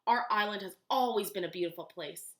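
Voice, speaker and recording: A woman voicing a kindly old male storyteller who speaks slowly and gravely, in an echoey room. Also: mid-range voice, fairly bright tone, lightly acted. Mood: solemn.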